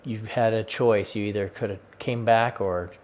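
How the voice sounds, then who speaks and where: A man's voice: on the low side, steady tone, normal speed, a little mellow, slight background noise. A man hosting an interview podcast, encouraging his guest and repeating himself as he responds. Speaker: podcast host.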